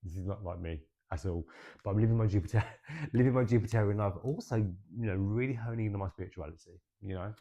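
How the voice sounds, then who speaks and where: A young man giving a casual, expressive tarot and astrology reading, chatty and swearing now and then, with "right?" tacked onto his points. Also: fairly low pitch, fast, lo-fi sound. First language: English.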